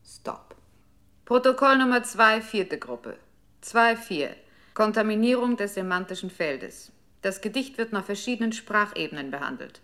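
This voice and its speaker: A woman's voice: mid-range voice, lively intonation, normal speed, pushed and bright. A woman playing a coolly methodical computer control unit in a German radio play, announcing instructions in clipped, impersonal phrases. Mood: curt command. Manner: impersonal, precise, reads numbers and instructions out.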